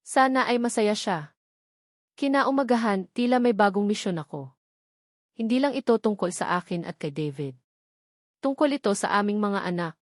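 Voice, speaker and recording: A woman delivers scripted dramatic narration in the first person. The sound is dry, with little room around the voice, and the pitch sits in an ordinary female range. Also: no echo. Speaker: story narrator.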